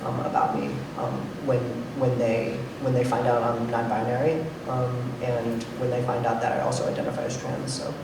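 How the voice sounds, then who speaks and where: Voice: medium pitch, flat delivery, moderate pace, vocal fry, few pauses. A nonbinary panelist with a masculine-sounding voice, talking casually in a hesitant way, with many ums and restarts. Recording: roomy, distant mic with noise.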